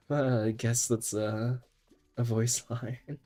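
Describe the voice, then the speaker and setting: A man's voice: medium pitch, dark tone. A young man streaming a game while sick, chatting in a rambling way and laughing often. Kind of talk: casual gaming stream chat.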